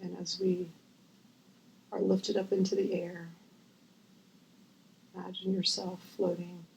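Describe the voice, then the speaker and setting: A woman guiding a visualization as host, gentle and unhurried with long pauses, her voice breathy and often near a whisper. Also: on the low side, completely flat delivery, slight background noise, rough recording. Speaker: guided meditation host. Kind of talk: guided visualization, meditation.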